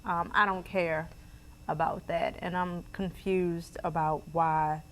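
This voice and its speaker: A woman's voice: on the low side, steady tone, slow pace, a bit bright. A woman from Ethiopia on a panel, giving earnest, slightly hesitant opinions.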